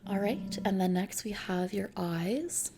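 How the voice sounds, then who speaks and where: A woman playing a friendly, reassuring technician at a futuristic customization service in a soft-spoken, casual ASMR roleplay. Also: mid-range voice, background noise, natural voice. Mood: gentle, reassuring. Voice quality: soft, creaky. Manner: gentle, reassuring, casual, unhurried.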